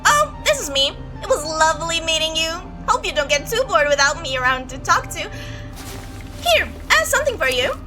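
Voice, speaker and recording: A woman's voice: extremely high pitch, sing-song, fairly quick, loud music behind the voice, rough recording. Woman putting on a chattering, oblivious creepy young guy. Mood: cheerful. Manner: rambling, breezy, casually menacing. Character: talkative, oblivious, creepy young male bus passenger. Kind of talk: acted visual novel dialogue.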